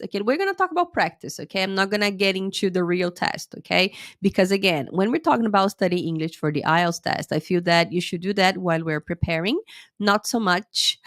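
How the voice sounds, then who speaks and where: A woman's voice: lowish voice, a bit bright, no room sound, clean studio sound. Chatty woman teacher with a slight cold. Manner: chatty, lots of "okay?" checks with viewers; speaks a little slowly. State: a little sick with a cold, sore throat. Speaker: English teacher and IELTS tutor. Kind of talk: live-streamed lesson.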